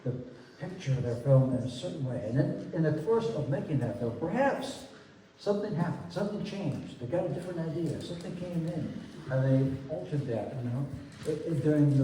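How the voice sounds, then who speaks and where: A man's voice: mid-range voice, mellow. A man hosting a live film festival Q&A on stage, talking in loose, rambling sentences. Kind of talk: emceeing a live Q&A. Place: large hall with stage. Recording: echoey hall, distant mic, faint hum.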